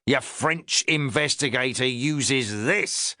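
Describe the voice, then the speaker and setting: A man's voice: medium pitch, takes it slowly, sharp tone, no echo, clean studio sound. A man voicing a pompous, hectoring police inspector in an audiobook, talking down in jabbing phrases. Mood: lecturing. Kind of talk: audiobook character reading.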